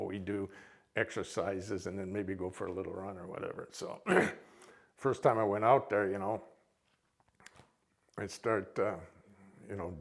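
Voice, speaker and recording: An older man with a Minnesota accent, informally reminiscing to an audience as a veteran in a rambling, folksy way. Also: on the low side. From Minnesota, United States. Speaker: military veteran, guest speaker.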